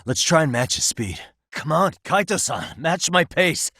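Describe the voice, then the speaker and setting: A man's voice: fairly high pitch, very animated, measured pace, bright, forceful tone, dry recording, heavily acted. An actor in his thirties with an American accent playing an easygoing, wry private detective in casual video game dialogue. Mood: exasperated, straining. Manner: casual, dry wry humour.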